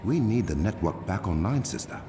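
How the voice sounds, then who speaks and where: An actor playing a solemn, protective warrior king with an African accent, speaking in a formal, commanding, measured way for a video game. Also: sits low, sing-song, warm tone, faint music behind.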